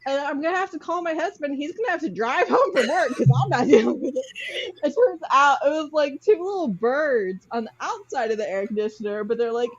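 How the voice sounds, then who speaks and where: A woman's voice: high voice, expressive, fairly quick. A woman hosting a casual game among friends, chatting and telling stories informally over lo-fi online audio. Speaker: host of a word-guessing game among friends. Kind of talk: casual, animated chat and storytelling.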